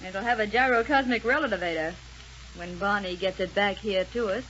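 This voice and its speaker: A woman's voice: medium pitch, a little fast, a bit bright, lightly acted. A woman playing a capable, cautious space adventurer in a 1930s radio serial, earnest and questioning. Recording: muffled, noisy 1930s radio recording.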